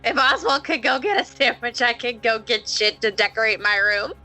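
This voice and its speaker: A woman playing a tabletop roleplaying game, chatting casually with friends in an animated, informal, sweary way. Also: on the high side, moderate pace, soft background music. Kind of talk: casual improvised game chat among friends.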